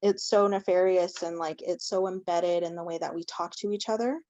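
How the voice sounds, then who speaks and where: A woman's voice: on the low side, steady tone, moderate pace, darkish tone, no echo, highs cut off. A woman speaking earnestly as a panelist, often restarting with "like" and "um". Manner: earnest, repeating words, frequent "like" and "um".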